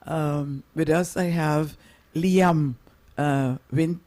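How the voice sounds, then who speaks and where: A man hosting a local radio program, introducing and interviewing guests in halting, wordy, rather formal phrasing. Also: a little high, steady tone, a little mellow.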